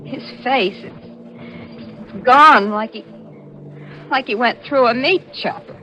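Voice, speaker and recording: An actress playing a hard, impatient murder accomplice with a terse, pushy manner, in 1940s radio thriller acting. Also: female voice, on the high side, slow, sharp tone, a little hiss or hum. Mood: shaken. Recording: muffled vintage radio recording, faint music.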